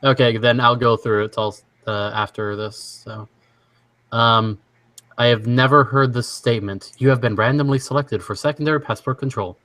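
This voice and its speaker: A young man from Washington state hosting a casual online chat, easygoing and quick to laugh as he reads quiz statements. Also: medium pitch, steady tone, fairly bright tone, no room sound. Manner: easygoing, laughs often, halting restarts. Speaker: stream host.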